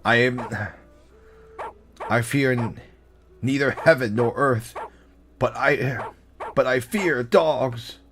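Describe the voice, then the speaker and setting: A man's voice: mid-range voice, slow, pauses often, noisy background, pitched above the performer's own voice, close to an everyday voice. A man doing a boastful warrior scared of dogs. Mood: alarmed.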